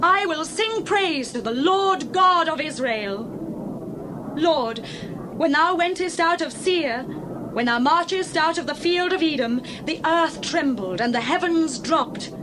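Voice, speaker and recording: A woman's voice: sits high, lively intonation, a little slow. A woman playing an exultant biblical prophetess in a dramatized scripture reading, declaiming solemnly. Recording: clear background ambient noise.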